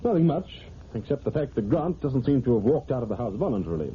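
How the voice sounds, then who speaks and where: An actor playing a rational, unruffled amateur detective in an old radio drama, with a dry, quick-witted delivery. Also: male voice, mid-range voice, sing-song, natural voice. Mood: matter-of-fact. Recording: tinny, noisy old radio recording.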